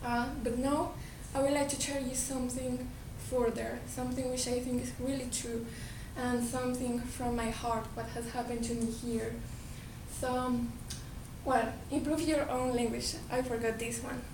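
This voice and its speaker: High Colombian-accented woman, echoey hall. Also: level tone, normal speed. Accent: Colombian Spanish. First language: Spanish. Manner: earnest, repeats and restarts words. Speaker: speaker giving a talk. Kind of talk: presentation to an audience. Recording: echoey hall, distant mic, background noise.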